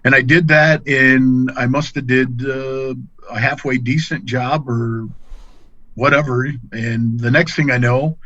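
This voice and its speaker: A middle-aged man chats as a podcast guest in a folksy, plain-spoken style. His pitch is average. The sound is dry and a little dull, like lo-fi call audio. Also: fairly even intonation, unhurried, pushed and bright, dry recording. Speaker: podcast guest, angler and fishing pro staffer. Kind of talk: casual conversational storytelling.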